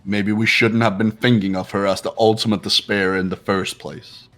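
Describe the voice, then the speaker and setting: A man playing a proud, analytical high school student in a fan-dubbed video game, speaking in a haughty, deliberate way. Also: level tone, a bit bright, natural voice. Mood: cool, analytical. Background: game music.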